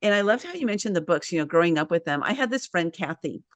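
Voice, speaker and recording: A woman's voice: fairly low pitch, fast talker, dry recording. A woman hosting a casual author chat, telling stories and acting out her own quotes. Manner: animated storyteller, acts out quotes. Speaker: host.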